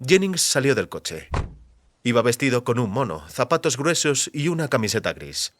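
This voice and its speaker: A man with a Castilian Spanish accent narrating a dramatized audiobook in a measured, deliberate storytelling manner. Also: mid-range voice, very animated, fairly bright tone, no room sound, clean studio sound. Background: music under the voice.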